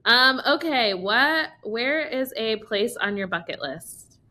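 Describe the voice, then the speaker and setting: A woman's voice: on the high side, expressive, takes it slowly, bright, forceful tone. A woman hosting a podcast in casual chat, informal and effusive. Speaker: podcast host.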